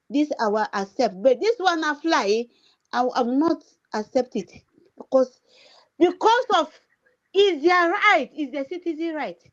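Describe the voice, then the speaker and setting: Fervent high-voiced Nigerian woman. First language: Igbo. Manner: fervent, repetitive, breaks off mid-sentence. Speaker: political livestream host. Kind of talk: impassioned activist monologue. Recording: dull lo-fi stream audio.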